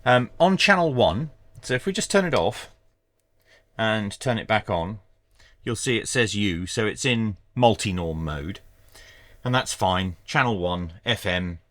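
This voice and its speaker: Low, dry-sounding man reviewing gear. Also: a bit bright, no room sound. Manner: measured, matter-of-fact explaining. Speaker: product reviewer. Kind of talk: hands-on tech review commentary.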